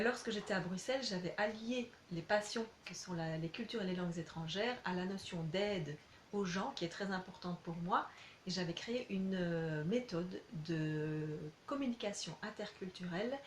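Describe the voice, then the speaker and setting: A woman from Belgium, a language teacher introducing herself informally in slightly halting, self-correcting phrases. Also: medium pitch. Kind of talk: informal self-presentation to prospective students. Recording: roomy echo, clear background noise.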